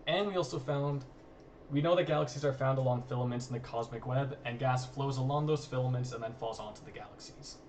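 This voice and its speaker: A man talks quickly at a medium pitch, explaining research informally and matter-of-factly. He sounds a little distant from the microphone. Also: a little mellow. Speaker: guest student researcher. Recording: distant mic, faint background noise.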